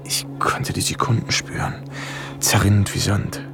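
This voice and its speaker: Cornered young soldier, music underneath. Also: male voice, on the low side, level tone, moderate pace, gravelly, in a whisper. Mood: reflective, tense. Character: cornered young American soldier John, anxious, pleading, posing as German officer. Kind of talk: radio drama acting and first-person narration. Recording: studio radio play with music underneath.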